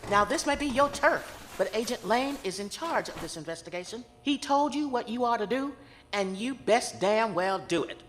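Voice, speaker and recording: An actress playing a defiant, solemn psychic medium in an audio horror drama, speaking with theatrical emphasis. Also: female voice, fairly high pitch, lively intonation, moderate pace, fairly bright tone, a little hiss or hum. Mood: defiant, stern. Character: Southern woman psychic medium, defiant and solemn, aiding investigators.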